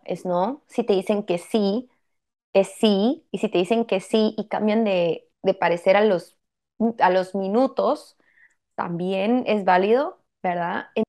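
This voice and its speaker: A woman's voice: mid-range voice, dark tone. A woman with a Guatemalan Spanish accent, hosting a casual group discussion and speaking frankly and bluntly.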